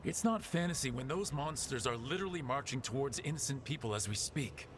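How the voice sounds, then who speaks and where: A man's voice: mid-range voice, animated, fairly quick, slight background noise, close to an everyday voice. A man playing a determined, heroic protector in a video game, speaking directly and insistently as he pleads a case. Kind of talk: dramatic video game acting.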